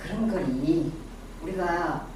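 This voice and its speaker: A woman's voice: fairly low pitch, slow pace. A middle-aged woman with a Daegu Gyeongsang accent gives a casual classroom lecture on personal image. She quizzes her audience and adds self-deprecating asides. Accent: Daegu Gyeongsang dialect. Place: lecture room with a small audience. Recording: very echoey room, distant mic, background noise.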